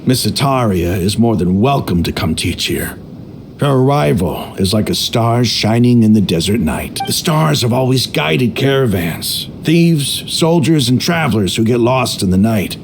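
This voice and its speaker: Hearty, animated desert mercenary leader. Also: male voice, mid-range voice, measured pace, vocal fry, a little hiss or hum. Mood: earnest, admiring. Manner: florid, declamatory. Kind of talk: video game voice acting. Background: game background music with plucked strings.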